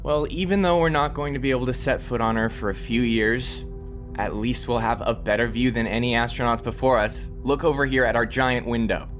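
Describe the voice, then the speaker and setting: A man's voice: medium pitch, fairly even intonation, fairly quick, fairly bright tone, soft background music, natural voice. An actor playing an earnest, slightly flustered male scientist fronting a broadcast in an audio drama. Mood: earnest, nervous. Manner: earnest, presentational.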